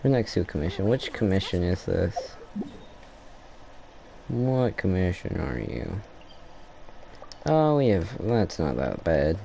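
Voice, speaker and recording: A man's voice: deep voice, very animated, takes it slowly, vocal fry, long pauses, mellow, a little hiss or hum, rough recording. A man giving casual commentary on his gameplay, rambling as he thinks aloud and trailing off mid-sentence. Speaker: gameplay streamer.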